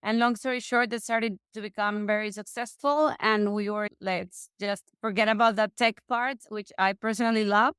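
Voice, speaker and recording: A woman talks through her career as a podcast guest, often breaking off and restarting her sentences. Her voice is very clean and smooth. It sounds dry and close, with no room sound at all. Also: medium pitch, steady tone, normal speed, hardly any pauses, a bit bright, dry recording. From Mexico. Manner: chatty, frequent restarts and self-corrections. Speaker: guest, real estate investor. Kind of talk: casual interview conversation.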